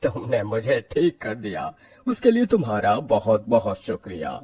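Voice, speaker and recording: Man voicing a lively boastful magical talking air conditioner. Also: on the low side, moderate pace, breathy edge, full character voice. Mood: friendly, grateful. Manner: boastful, friendly, theatrical. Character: cheerful magical talking grass air conditioner, helper of the poor. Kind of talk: Hindi cartoon story acting.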